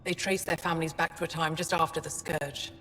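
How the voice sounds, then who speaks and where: An actress playing a guarded, no-nonsense female alien scientist in a video game, speaking in a measured, frank way. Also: deep voice, flat delivery, a bit bright. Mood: scornful. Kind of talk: video game dialogue acting. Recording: long reverb, music underneath.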